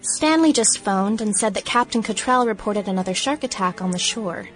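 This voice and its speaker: A woman's voice: mid-range voice, animated, normal speed, a bit bright, close to an everyday voice. Woman acting a prim small-town lady over music. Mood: incredulous, prim. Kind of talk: dramatic diary monologue in radio play. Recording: music bed under the voice.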